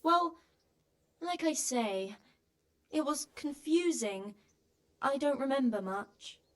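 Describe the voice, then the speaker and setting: An actress playing a flustered peasant farm wife in a video game, dodging questions about a bandit raid. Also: female voice, high voice, expressive, slow pace. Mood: evasive. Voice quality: evasive, flustered. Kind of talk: video game cutscene acting.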